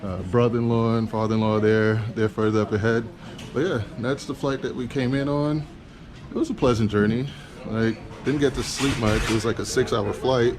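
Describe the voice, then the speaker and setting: A man from Trinidad, a car vlogger, chatting casually and directly to his viewers in a relaxed travel vlog. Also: mid-range voice, normal speed, crowd noise. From Trinidad, Caribbean. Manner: relaxed, chatty, talks straight to the camera.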